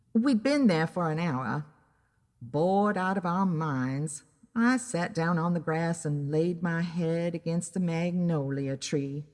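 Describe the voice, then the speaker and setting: Wry female private eye, audio drama narration, unhurried pace, lowish pitch, dramatic delivery. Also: darkish tone, in a large hall. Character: wry, easily annoyed woman private investigator. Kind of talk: scripted first-person detective story narration.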